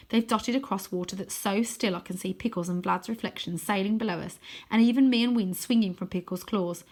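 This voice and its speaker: A woman reading a children's novel aloud as expressive narration, with dramatic, animated emphasis. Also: mid-range voice.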